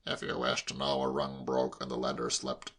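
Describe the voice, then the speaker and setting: A man voicing an irritable, exasperated older English gentleman in a comic audiobook, testy, strained and emphatic. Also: very deep voice, completely flat delivery, moderate pace, vocal fry, pitched below the performer's own voice, full character voice.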